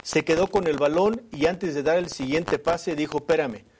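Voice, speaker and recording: A man's voice: on the high side, normal speed, a little hiss or hum. A man with a Mexican accent giving conversational news opinion, emphatic and repetitive, often seeking agreement with short tag questions. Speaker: news commentator.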